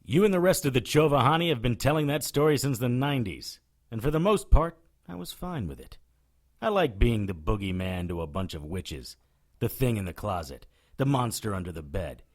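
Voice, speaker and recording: A man's voice: medium pitch, very animated, moderate pace. A man playing a wry, cocky witch hunter who narrates and speaks in an audio drama, dry and sardonic. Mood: sardonic, defiant. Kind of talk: first-person audio drama narration and dialogue.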